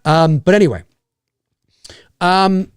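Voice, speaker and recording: A man in his forties from Canada, hosting a live Q&A stream and chatting casually in an excitable, rambling way. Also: high-pitched, slow pace, smooth, dry recording, clean studio sound. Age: 40s. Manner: excitable, rambling, reads donor messages aloud.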